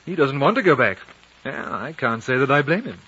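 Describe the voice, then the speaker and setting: A reserved, thoughtful British consul in a vintage radio play. His voice is bright and mid-pitched, his manner polite and dryly formal. The sound is the dull, narrow tone of a 1950 broadcast. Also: very animated, brisk, slightly rough, full character voice. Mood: dry, composed. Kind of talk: 1950s radio drama dialogue and narration.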